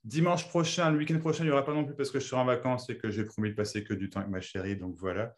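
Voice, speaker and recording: A man's voice: medium pitch, steady tone, racing. A man who grew up in Belgium, hosting a video-call meeting and chatting casually, rambling with many restarts. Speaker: meeting host.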